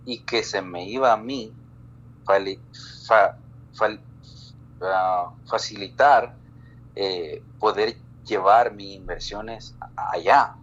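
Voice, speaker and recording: Man from El Salvador, very deep voice, halting casual chat, many fillers, slightly muffled audio. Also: fairly bright tone. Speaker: guest. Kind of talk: casual live-stream conversation.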